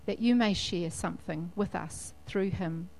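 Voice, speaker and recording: Chatty New church service leader. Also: female voice, fairly low pitch, a little slow, gravelly, a little mellow, rough recording. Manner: friendly, chatty, leads a prayer. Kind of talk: leading a church service and interview.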